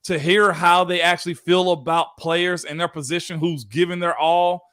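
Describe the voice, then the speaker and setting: A man's voice: sits high, steady tone, normal speed, a bit bright, clear, resonant tone, dry recording, clean studio sound. A man in his thirties, a former football player, co-hosting a sports talk show in a laid-back, chatty way.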